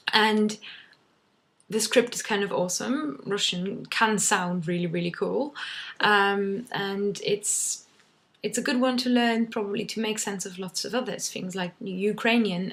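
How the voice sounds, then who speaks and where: A woman's voice: medium pitch, creaky, fairly bright tone. A young woman whose first language is German, talking casually to camera in a rambling way, full of ums and restarts. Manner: chatty, many ums and restarts. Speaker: vlogger.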